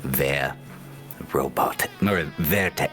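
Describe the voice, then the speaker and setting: A man playing a tabletop game, talking plans with a teammate in halting, self-deprecating banter. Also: a bass voice, a bit bright, faint music behind. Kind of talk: in-character casual banter.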